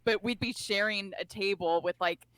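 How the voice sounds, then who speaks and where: Animated young woman telling stories. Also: mid-range voice, moderate pace, clean tone, dry recording, poor audio. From California. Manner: animated storyteller, acts out quoted lines. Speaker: podcast guest. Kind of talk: casual chat.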